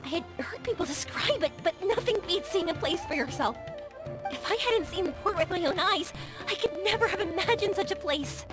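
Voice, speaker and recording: An actress playing a bubbly, excitable young woman traveler in an anime-style game, gushing and eager. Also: very high voice, fairly quick. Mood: excited. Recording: music playing behind the voice.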